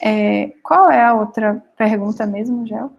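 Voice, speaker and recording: A woman's voice: on the high side, a little slow, vocal fry, lo-fi sound. A woman with a Northeastern Brazilian accent speaking informally as a guest panelist, often searching for words as she tells her own experience. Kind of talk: casual personal account in online panel.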